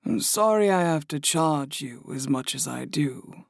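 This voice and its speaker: A male audiobook narrator voicing a kind young woman innkeeper, speaking softly and gently. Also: on the high side, lively intonation, unhurried, gravelly, no echo, clean studio sound, pitched above the performer's own voice. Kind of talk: audiobook character voice.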